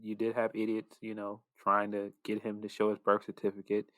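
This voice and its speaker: Male co-host, lowish pitch, reflective casual talk, slightly hesitant, dry recording. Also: monotone, brisk, fairly bright tone, no room sound, rough recording. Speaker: podcast co-host. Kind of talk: casual conversation.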